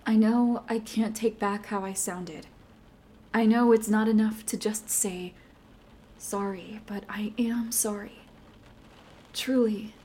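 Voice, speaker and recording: A woman voices an emotional young girlfriend with a temper in an audio roleplay. She talks straight to the listener in an earnest, fairly high voice. Her delivery is slow and unhurried. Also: dark tone, lightly acted.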